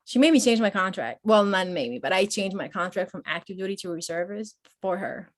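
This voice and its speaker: A woman in her forties, born in Peru, speaks as a guest on a podcast. She talks openly and in a personal way, with lots of pauses and fillers, and her pitch sits mid-range for a woman. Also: animated. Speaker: guest, Marine veteran and nonprofit founder. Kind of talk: conversational podcast interview.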